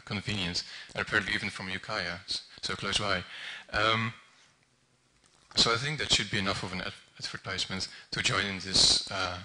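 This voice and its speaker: A young man, his tone bright and edgy. He sits a touch low in pitch and talks haltingly, full of ums and self-corrections, as though thinking aloud in front of a room. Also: poor audio. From Netherlands. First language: Dutch. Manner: hesitant, many ums and restarts. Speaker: volunteer translator speaking at an event. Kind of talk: informal public talk.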